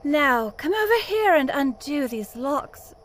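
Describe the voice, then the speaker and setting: Actress as mystic fortune teller, high voice, slow delivery, melodramatic, formal rhyming speech, fantasy audio play. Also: female voice, very animated, fairly bright tone, full character voice. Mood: weary, imploring. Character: suffering female fortune teller speaking in rhyme. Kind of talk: comic fantasy audio drama.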